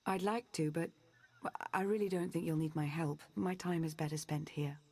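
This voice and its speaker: A composed, analytical woman who talks in a measured, precise way. Her voice is lowish with a husky edge. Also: fairly even intonation, darkish tone. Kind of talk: video game voice acting.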